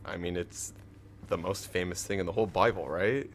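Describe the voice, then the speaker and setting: An actor playing a gentle, reserved man on the run in an audio drama, speaking in an understated, hesitant way. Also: deep voice, normal speed, a little hiss or hum, lightly acted. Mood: soft, sincere. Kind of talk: naturalistic audio drama dialogue.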